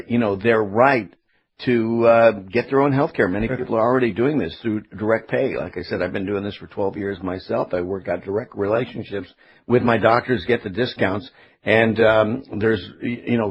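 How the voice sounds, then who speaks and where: A middle-aged man hosting live talk radio, speaking in a halting, opinionated, conversational way. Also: on the low side, breathy edge. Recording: dull, lo-fi radio broadcast audio.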